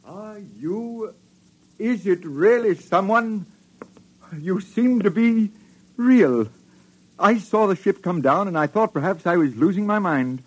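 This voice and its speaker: Husky, halting old survivor. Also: male voice, high-pitched, lively intonation, exaggerated voice, rough recording. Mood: overcome, disbelieving. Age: older. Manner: halting, emotional delivery. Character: lonely old male survivor, a doctor, deeply moved. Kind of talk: old-time radio drama acting.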